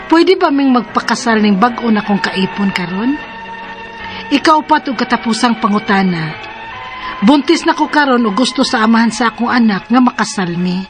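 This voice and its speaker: Actress for a worried Cebuano mother in a radio drama, slightly high natural voice, music underneath. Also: lively intonation, normal speed, lightly acted. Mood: earnest, worried. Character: troubled separated mother, pregnant, wanting to remarry. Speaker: radio drama lead and letter narrator. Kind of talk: Philippine radio drama acting. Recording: music playing behind the voice.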